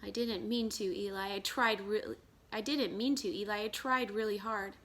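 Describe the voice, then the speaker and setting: A woman's voice: mid-range voice, steady tone, normal speed, a bit bright, lightly acted. A woman voicing a small, anxious wooden puppet boy from a children's storybook, hesitant, plaintive and full of questions. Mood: anxious, pleading. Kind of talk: children's storybook read-aloud.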